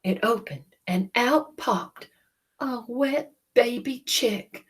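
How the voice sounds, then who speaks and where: A woman reading a children's story aloud in a dramatic, deliberate way, with hushed moments. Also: a little high, slightly rough. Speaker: storybook reader.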